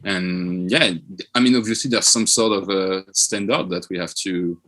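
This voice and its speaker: A man chatting informally as an interview guest, thinking aloud in a halting way. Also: low voice, steady tone, fairly bright tone. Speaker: band member, podcast guest. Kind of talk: casual interview chat.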